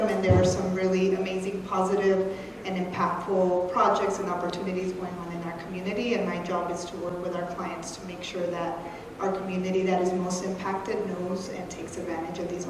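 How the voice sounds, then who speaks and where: A woman's voice: on the low side, flat delivery, fairly quick. Woman from El Salvador, Spanish native, friendly, echoey hall, noticeable room noise. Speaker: marketing agency owner, panelist. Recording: roomy hall sound from far off.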